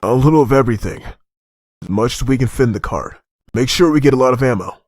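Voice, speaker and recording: Man acting a determined survivor leader, animated delivery. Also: mid-range voice, fast, dry recording, studio quality, lightly acted. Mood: tense, hushed urgency. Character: urgent, determined male zombie-apocalypse survivor and leader. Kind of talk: dramatic comic dub voice acting.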